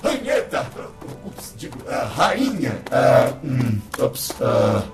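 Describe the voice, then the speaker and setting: Man acting a gravelly, flustered, excitable fellow. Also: mid-range voice, takes it slowly, over music, full character voice. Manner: flustered, stammering, chuckling, exclaiming. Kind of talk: theatrical audio drama acting.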